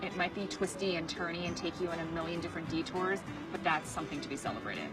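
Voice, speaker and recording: A woman's voice: lowish voice, quick pace. Chatty woman over background music. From United States. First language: Chinese. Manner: upbeat, chatty, fillers of "like". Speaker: interview guest. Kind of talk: casual interview chat. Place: car, office and rooftop, on location. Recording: music bed under the talk.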